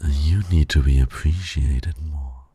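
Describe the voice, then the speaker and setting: A man playing a suave, teasing supervillain in a flirtatious ASMR romance roleplay, gravelly and breathy, purring and often dropping to a whisper. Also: rumbling low, slow, dark tone, dry recording, exaggerated voice. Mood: teasing, intimate. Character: suave, teasing male supervillain courting his hero nemesis.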